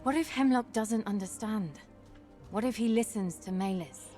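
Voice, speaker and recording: A woman's voice: mid-range voice, moderate pace, dark tone, faint music behind, natural voice. An actress playing a sceptical, defiant female warrior in a fantasy game, with a direct, questioning manner. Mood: anxious, defiant. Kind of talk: dramatic fantasy game acting.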